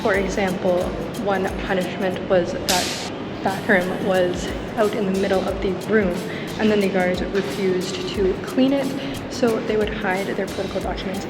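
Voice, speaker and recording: A woman's voice: medium pitch, steady tone, normal speed, over loud music, low-quality recording. A young adult woman giving casual travel vlog narration. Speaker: travel vlogger.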